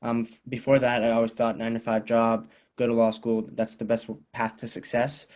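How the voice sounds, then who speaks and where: A man's voice: medium pitch, monotone, brisk, a little mellow. A nineteen-year-old man on a video call, telling his story earnestly with small restarts. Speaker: guest.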